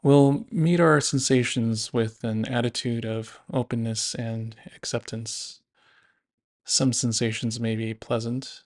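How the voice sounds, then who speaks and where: A man narrating a guided body scan meditation in a gentle, unhurried, instructive manner. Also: medium pitch.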